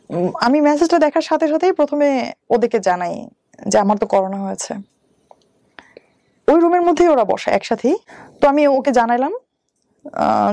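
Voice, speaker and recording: A woman's voice: fairly high pitch, vocal fry, warm tone, no room sound, studio quality. A woman in her thirties with a Bangladeshi accent, telling a personal story step by step as an interview guest, with some English words mixed in. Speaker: interview guest, bank employee.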